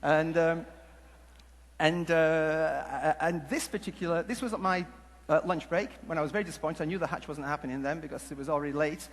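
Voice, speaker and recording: A man giving a humorous conference talk, telling stories in a jokey, halting, rambling way. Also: on the high side. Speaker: conference speaker. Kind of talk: humorous stage presentation with storytelling. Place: large conference hall before an audience. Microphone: headset mic. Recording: echoey hall PA with background noise.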